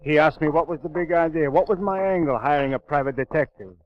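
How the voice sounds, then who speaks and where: A man's voice: a little high, fast, close to an everyday voice. A man playing a worried, formal circus owner. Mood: earnest. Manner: formal, earnest, anxious. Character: earnest middle-aged male circus owner. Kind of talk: 1940s radio drama acting. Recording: dull 1940s radio recording.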